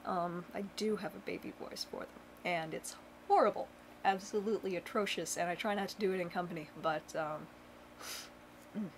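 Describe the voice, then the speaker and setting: Rambling chatty young woman, gravelly edge. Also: lowish voice, normal speed, a little hiss or hum, poor audio. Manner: rambling, chatty, with many fillers; dry self-mocking humor. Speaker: vlogger. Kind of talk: casual chat to camera. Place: home bedroom.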